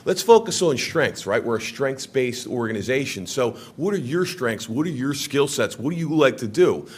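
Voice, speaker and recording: A man's voice: medium pitch, expressive, normal speed, slightly rough. A man on city staff giving an earnest presentation to a city council, with emphatic rises and frequent restarts. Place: council chamber.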